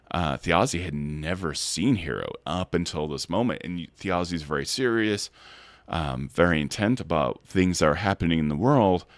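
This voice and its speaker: Man, hoarse from a cold, gravelly and bassy, rambling casual recap host, dry sound. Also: dry recording. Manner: chatty, rambling, with many fillers and restarts.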